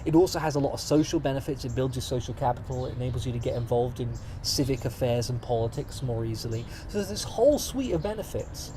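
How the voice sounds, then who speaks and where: A young British man speaks as an interview guest, articulate and enthusiastic with a dry, wry edge. His voice sits at an ordinary pitch for a man. The recording carries clear traffic noise behind him. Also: lively intonation, fast, few pauses. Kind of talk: casual interview conversation. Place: studio in front of cameras.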